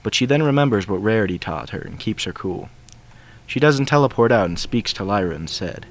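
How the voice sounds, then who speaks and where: A man's voice: fairly low pitch, expressive, fairly quick. A man reading fan fiction aloud as scripted narration, with music behind him. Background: background music.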